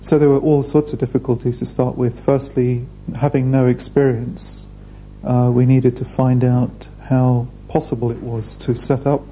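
A man, speaking thoughtfully in a documentary interview with measured pauses. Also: mid-range voice, little pitch movement, warm tone, slight background noise, rough recording. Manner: measured, thoughtful, hesitant fillers. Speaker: restaurant founder. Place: indoor room.

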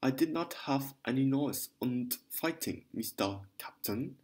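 A man reading aloud voicing a flustered, fawning German landlady who complains in a rapid patter with broken grammar and a strong German accent. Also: medium pitch, animated, darkish tone, natural voice. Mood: indignant pattering.

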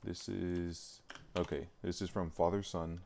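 Very deep-voiced man, casually thinking aloud. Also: level tone, a little slow, vocal fry, a little mellow, slight background noise. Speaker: live streamer working on a game mod. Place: indoors at a computer, keyboard typing.

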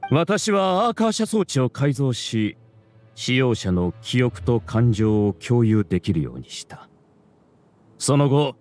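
A man voicing an arrogant scholar villain in a video game, grandiose and lecturing in a theatrical way. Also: mid-range voice. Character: arrogant male scholar villain, mastermind of a hive mind. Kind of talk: Japanese video game voice acting.

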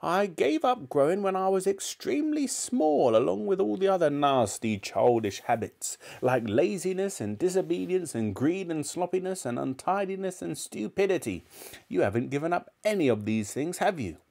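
A man acts out a wicked little old grandmother from a children's storybook. The voice is pitched very high and swings wildly up and down. This granny is bossy and scornful, snapping out every order and relishing anything disgusting. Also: sing-song, moderate pace, vocal fry, a little mellow, exaggerated voice. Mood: scolding, contemptuous. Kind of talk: storybook character reading.

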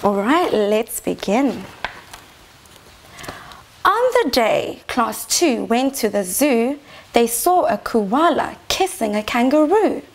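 A woman's voice: a little high, very animated, slow. A young woman teacher reading a picture book aloud to small children, expressively and with prompting questions. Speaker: infant teacher reading a storybook. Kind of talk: children's story time read-aloud. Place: small decorated indoor reading corner.

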